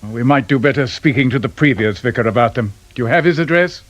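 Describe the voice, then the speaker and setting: An actor playing a polite, probing senior detective who questions crisply in a radio crime drama. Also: male voice, medium pitch, animated, fast talker, no room sound, dull audio, natural voice. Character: courteous, probing male senior detective in charge.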